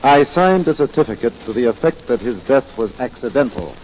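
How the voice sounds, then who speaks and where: A man's voice: medium pitch, lively intonation, brisk, a little mellow, slight background noise, natural voice, poor audio. A man acting in an old-time radio drama as a stiffly formal country doctor, speaking in a clipped, defensive, indignant manner. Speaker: actor playing a doctor.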